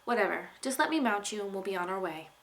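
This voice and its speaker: A woman's voice: medium pitch, animated, fast talker, fairly bright tone. A woman reading the part of a fiery, stubborn young tribeswoman in a storybook, curt and insistent. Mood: curt, impatient.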